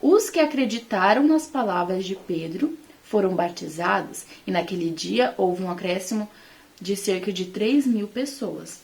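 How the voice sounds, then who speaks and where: A woman's voice: medium pitch, animated, a little slow, creaky, darkish tone. A Brazilian woman teaching the Bible informally to online followers, conversational and emphatic in her delivery.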